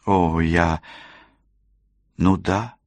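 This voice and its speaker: A man's voice: low-pitched, steady tone, drawn-out words, no room sound. A man voicing a young female detective who pleads in a strained, hesitant, apologetic way. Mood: hesitant, pleading.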